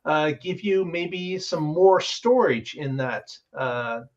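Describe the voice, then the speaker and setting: A man with a fairly high voice hosts a casual discussion show. He talks in an explanatory, conversational way. The sound is a little dull, like call audio. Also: animated, takes it slowly. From Canada. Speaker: show host.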